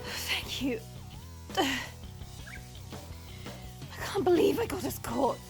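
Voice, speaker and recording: Stoic warrior princess for fantasy audio drama played by a woman: high and very expressive in pitch, deliberate, proud, earnest. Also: soft background music, full character voice. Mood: pained.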